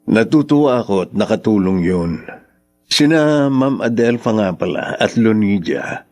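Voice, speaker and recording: A man's voice: lowish voice, animated, measured pace, darkish tone. An actor in a Tagalog radio drama playing a friendly, generous longtime friend of a woman. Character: friendly, generous old male friend, back visiting.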